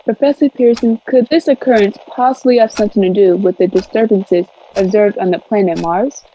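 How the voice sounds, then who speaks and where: A composed radio newswoman acted by a woman reports in a mellow, formal voice with measured, descriptive phrasing. The 1930s-style drama recording is a little dull, with faint noise. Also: medium pitch, natural voice. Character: composed, formal female radio news commentator.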